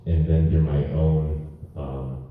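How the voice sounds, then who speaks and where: A man on a panel, telling a relaxed story with some hesitation. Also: rumbling low, takes it slowly, slight background noise. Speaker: panelist, musician. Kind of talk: casual panel talk. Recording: distant, dull, very echoey hall.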